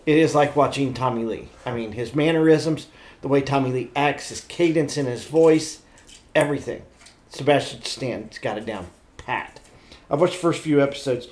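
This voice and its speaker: A middle-aged man co-hosting a podcast, telling stories about pop culture in a blunt, animated, slightly crude casual way. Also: mid-range voice, moderate pace. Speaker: podcast co-host.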